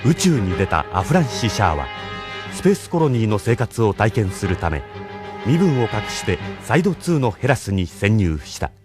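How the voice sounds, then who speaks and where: Solemn story narrator, lowish voice, expressive range, anime drama recap, music underneath. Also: male voice, moderate pace. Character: serious male story narrator.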